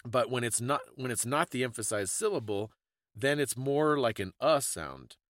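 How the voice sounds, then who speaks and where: A man's voice: mid-range voice, sing-song, moderate pace, a bit bright, no echo, clean studio sound. A man hosting a conversational talk, explaining things in an informative, scholarly way. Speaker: podcast host explaining folklore.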